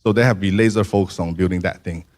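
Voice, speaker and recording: Deep-voiced man answering questions haltingly. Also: fairly even intonation, a little mellow, slight background noise. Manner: halting, many fillers and restarts. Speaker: startup founder.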